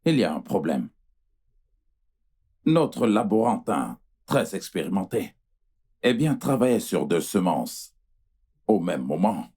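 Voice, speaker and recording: Man acting a deep, husky, formal doctor. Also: big pitch swings, slow pace, long pauses, warm tone, exaggerated voice. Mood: grave, hesitant. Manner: formal, hesitant, placating. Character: apologetic fertility doctor, formal and placating.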